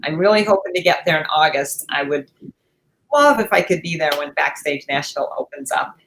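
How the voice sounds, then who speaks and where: A woman's voice: deep voice, animated, brisk, a bit bright, slight background noise, rough recording. A middle-aged woman, co-hosting a podcast in casual conversation. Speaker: podcast co-host.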